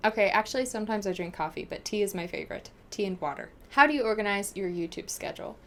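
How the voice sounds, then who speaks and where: Animated young American woman chatting casually. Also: mid-range voice, fast, a little hiss or hum. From United States. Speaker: book vlogger. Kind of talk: casual chat answering viewer questions.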